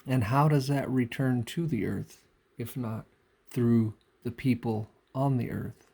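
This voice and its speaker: Man, spiritual speaker, very slow pace, dark relaxed tone, halting and deliberate, repetitive phrasing, unscripted monologue. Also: medium pitch. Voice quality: frequent throat clearing.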